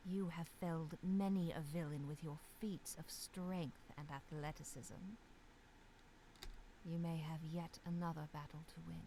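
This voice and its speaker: A woman playing a mysterious, solemn narrator of a dark fantasy visual novel, with slow, hushed, measured storytelling. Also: low voice, fairly even intonation, slight background noise, poor audio. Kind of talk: scripted second-person narration for a visual novel.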